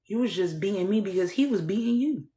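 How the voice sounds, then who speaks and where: A woman's voice: mid-range voice, lively intonation, quick pace, mellow, reverberant. A woman from Trinidad who speaks with an American accent, telling her own story in a blunt, candid and raw way, with swearing and wry laughs. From Trinidad and Tobago. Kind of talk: personal testimony to camera.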